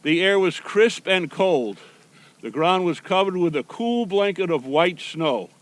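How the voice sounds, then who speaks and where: High-pitched man reading a children's storybook. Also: expressive, unhurried. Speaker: storybook reader appearing as Santa Claus. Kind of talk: children's storybook read-aloud.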